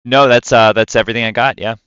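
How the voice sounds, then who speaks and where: A man's voice: medium pitch, fairly even intonation, fast, a bit bright, clean tone, dry recording. A man co-hosting a podcast in casual chat, recorded close with a slightly dull sound. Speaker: podcast co-host.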